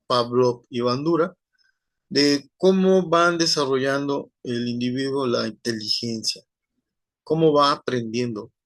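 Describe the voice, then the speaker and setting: Slow, halting male lecturer. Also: medium pitch, vocal fry, fairly bright tone, no echo. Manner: rambling, many fillers and tag questions. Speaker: teacher. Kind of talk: online class lecture.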